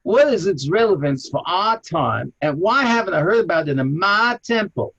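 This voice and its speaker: An American man from Chicago, a rabbi and archaeologist, answering interview questions with animated, anecdotal storytelling. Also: moderate pace. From Chicago, USA. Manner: animated anecdotal storyteller, lively jumps in pitch. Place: home in an old city, remote call. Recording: muffled, husky lo-fi line.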